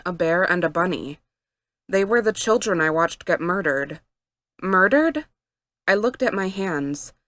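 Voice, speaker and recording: A woman narrates a story aloud in an expressive reading style. Her voice sits a little low, and the recording is dry and close. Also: dry recording. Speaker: fan fiction reader.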